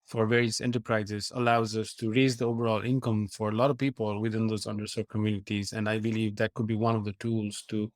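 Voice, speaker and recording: A man's voice: on the low side, little pitch movement, fairly quick, few pauses, no room sound. Nepal-born man explaining, dry sound. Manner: earnest, explanatory, with fillers and restarts. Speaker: guest, AI company founder. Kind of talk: conversational interview answers.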